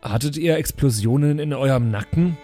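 A man's voice: mid-range voice, moderate pace, warm tone. Man in improvised dialogue over music. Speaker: player in a role-playing podcast. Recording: music behind.

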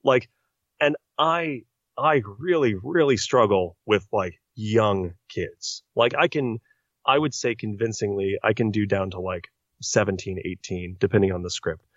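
A man's voice: fairly low pitch, measured pace, fairly bright tone, no echo. A man who is a voice-actor guest on a podcast, talking earnestly and at length. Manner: earnest, talkative, explains at length. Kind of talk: casual podcast interview. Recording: dry, muffled lo-fi sound.

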